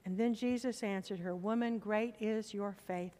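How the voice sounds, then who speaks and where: A middle-aged woman pastor preaching a sermon from the pulpit of a church, with slow, emphatic appeals to the congregation. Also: medium pitch, steady tone, mellow, long reverb tail.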